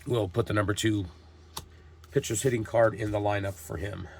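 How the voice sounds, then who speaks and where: A man's voice: on the low side, moderate pace, vocal fry, a little hiss or hum. A man hosting a tabletop baseball game, reading lineups and calling plays like a broadcaster while getting over a cold. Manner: steady, reads lineups and calls plays like a broadcaster. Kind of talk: play-by-play narration of a dice game.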